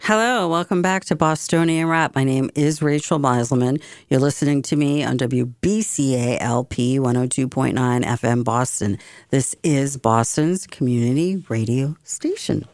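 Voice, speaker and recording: A woman's voice: rumbling low, moderate pace, darkish tone, no echo, studio quality. A woman hosting a community radio talk show, speaking with passion and repeating phrases for emphasis. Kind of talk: opinionated talk radio monologue.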